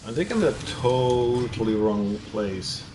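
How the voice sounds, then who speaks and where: Young Dutch-accented male streamer, unhurried and chatty, lively intonation, echoey room with faint noise. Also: mid-range voice. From Netherlands. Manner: slow, loose, chatty with viewers, swears casually. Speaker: gaming streamer. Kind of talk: casual live commentary while playing.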